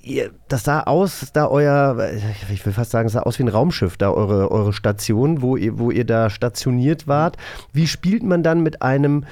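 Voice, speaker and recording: A man's voice: mid-range voice, normal speed, a little mellow. Chatty male podcast host. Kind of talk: casual radio play podcast talk.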